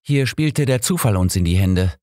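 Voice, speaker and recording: A man's voice: low voice, animated, normal speed, darkish tone, no echo, studio quality. A man voicing a formal, courteous and deferential police inspector in an audiobook.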